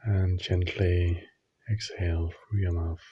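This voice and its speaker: A man leading a guided meditation, giving gentle instructions in short phrases with long pauses. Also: sits low, little pitch movement, husky, dry recording, highs cut off.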